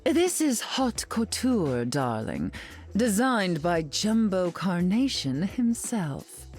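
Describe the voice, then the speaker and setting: A woman's voice: mid-range voice, very animated, slow, a little mellow, faint music behind. A woman playing a haughty, glamorous, sardonic society queen in a video game, imperious and arch in manner. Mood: haughty, sly. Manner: imperious, arch, drawling endearments. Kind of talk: video game character dialogue.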